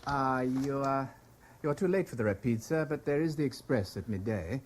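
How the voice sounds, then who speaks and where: An actor in a radio drama playing a polite, discreet French hotel receptionist, husky and formally courteous. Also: male voice, medium pitch, animated, normal speed, slight background noise, natural voice. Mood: discreet, obliging. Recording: 1970s radio drama broadcast.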